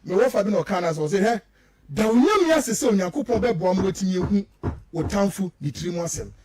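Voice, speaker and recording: A man's voice: high voice, expressive, brisk, noisy background. A Ghanaian man giving emphatic, repetitive political commentary as he switches between two languages, full of rhetorical turns.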